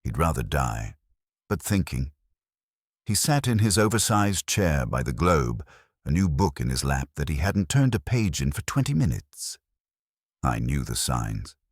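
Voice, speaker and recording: A man's voice: very deep voice, sing-song, measured pace, dry recording, studio quality. A man narrating a scripted first-person detective story.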